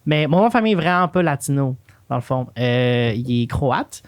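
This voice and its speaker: A woman's voice: very deep voice, expressive, normal speed. A young woman from the Montreal area with a Quebec French accent, co-hosting a casual podcast in slangy French mixed with English. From Montreal area, Quebec, of Chilean parents. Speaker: podcast co-host. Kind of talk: casual conversational podcast chat.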